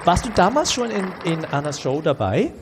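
A man's voice: a little high, big pitch swings, normal speed, in a large hall. A man improvising a comedy radio play as a chatty, questioning host of a reunion show. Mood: curious, bantering. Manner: questioning, hesitant bantering. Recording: noisy live room with loud audience.

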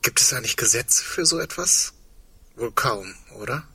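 A husky male voice with a bright, forward edge and a middle pitch. He is a wry, digressive man facing the end with quiet hope. The delivery is reflective and understated, as in an intimate, naturalistic radio play. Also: animated, moderate pace, heavily acted. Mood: uneasy, wry.

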